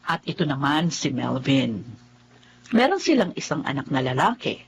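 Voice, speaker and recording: A woman playing a kind, soothing orphanage nun in a radio drama, gentle and reassuring. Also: very deep voice, sing-song, normal speed, lo-fi sound, slight background noise, exaggerated voice. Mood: gently pleased. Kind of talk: Tagalog radio drama acting.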